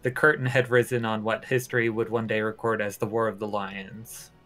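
A woman's voice: very deep voice, flat delivery, a little fast, fairly bright tone, soft background music, voice pushed down. A woman voicing a solemn, formal storyteller narrating a war chronicle for a video game. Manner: grave, formal.

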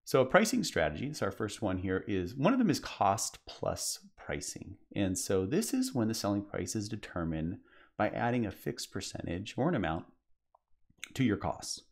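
A man's voice: lowish voice, very animated, normal speed. A man presenting a conference session, explaining in a casual way with frequent fillers and restarts. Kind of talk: business presentation.

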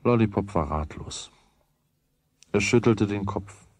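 An actor playing the storyteller, narrating a German children's radio play from a script. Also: male voice, on the low side, unhurried, a little mellow, husky, no echo. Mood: calm storytelling. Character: male storyteller of a children's tale.

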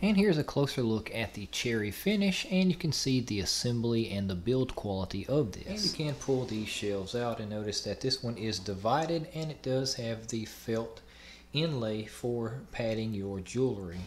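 A man in his twenties hosting a review channel, calmly explaining step by step how to put furniture together. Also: mid-range voice, moderate pace, a little hiss or hum. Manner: plain, matter-of-fact explaining. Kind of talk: instructional product assembly voice-over.

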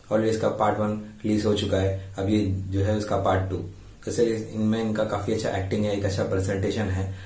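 An Indian man with a slightly sore throat hosts a casual film review. His voice is low and extremely monotone, with halting, repetitive phrasing. It sounds a little distant from the microphone. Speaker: YouTube film reviewer and host. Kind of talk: casual movie review to camera. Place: indoors before a green screen. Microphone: lapel mic. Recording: roomy echo, distant-sounding mic.

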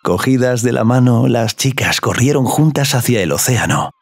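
A man's voice: medium pitch, moderate pace, creaky, no room sound. A man narrating a scripted audio story.

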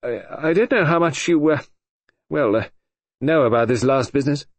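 A man's voice: normal speed, dry recording, close to an everyday voice. A man voicing a fussy, flustered government official in an audiobook, who stammers and hesitates over his words. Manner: hesitant, stammering, higher-pitched. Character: fussy, flustered middle-aged male official. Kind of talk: audiobook character voice.

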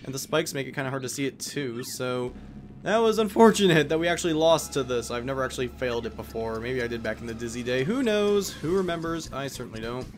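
A man's voice: medium pitch, expressive, quick pace, a little hiss or hum. A young man giving casual, rambling, self-deprecating commentary as he plays a video game. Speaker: let's play host. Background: video game music.

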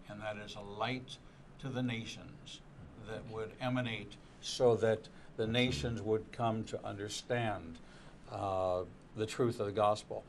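A man's voice: fairly low pitch, slight background noise. An older man, a rabbi hosting a TV studio panel, speaking in an earnest preaching tone and repeating words as he goes. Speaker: rabbi and show host. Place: TV studio set.

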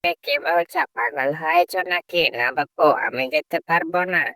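A woman voicing a chatty, brusque female parrot in a cartoon, colloquial and full of questions and exclamations, with a creaky voice. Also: low voice, normal speed, fairly bright tone, no room sound, studio quality. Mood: refusing. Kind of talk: cartoon character dialogue.

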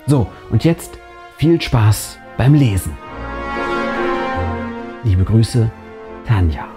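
A man's voice: lowish voice, lively intonation, extremely slow. A man reading a scripted first-person horror story aloud in a dramatic, suspenseful way. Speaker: narrator and channel host. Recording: music bed behind the voice. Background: background music.

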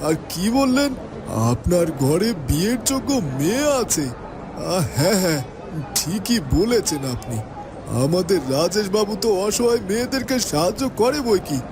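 A man's voice: high voice, sing-song, normal speed, noisy background. A man voicing a fawning, eager henchman in a cartoon, oily and ingratiating, repeating himself as he goes. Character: fawning, eager male henchman of a shady boss. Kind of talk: animated cartoon dubbing. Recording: music behind the voice.

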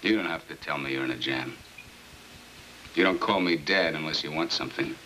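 Casting: middle-aged American dad, low voice, sour and sarcastic, 1950s film, noisy old soundtrack. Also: male voice, fairly quick, sharp tone. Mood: bitter, sarcastic. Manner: sarcastic, grumbling. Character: bitter, suspicious middle-aged husband and father. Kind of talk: 1950s film drama acting.

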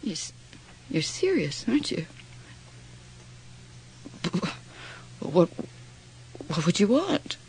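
An actress playing a distraught, blinded young woman in a radio horror drama, halting and bitterly disbelieving. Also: medium pitch, very animated, darkish tone, a little hiss or hum, low-quality recording. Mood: wary, stammering. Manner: halting, breathless stammer turning to bitter sarcasm.

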